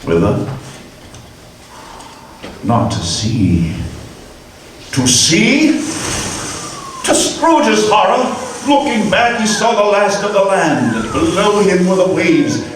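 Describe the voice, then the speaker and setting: A man narrating a classic story in a measured, expressive live radio-play reading. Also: sits high, distant mic, background noise. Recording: echoey live stage from afar.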